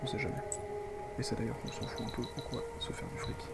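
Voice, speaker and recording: A man's voice: mid-range voice, wildly swooping pitch, fairly quick. Man with a cold narrating his strategy game. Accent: French. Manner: rambling, chatty, talks to viewers while playing. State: has a cold. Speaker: gaming video host. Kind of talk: casual strategy game commentary. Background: loud game music.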